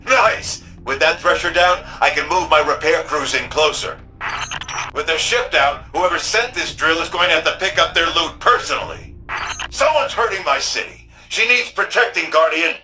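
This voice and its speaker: An actor playing a protective, plain-spoken repair engineer in a futuristic video game, brisk and folksy. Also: male voice, fairly high pitch, pushed and bright. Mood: urgent. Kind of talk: video game radio dialogue.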